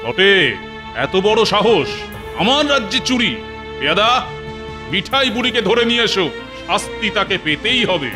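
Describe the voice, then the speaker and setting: A wise stern king in a cartoon, raised pitch, commanding. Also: sing-song, moderate pace, pauses often, over music.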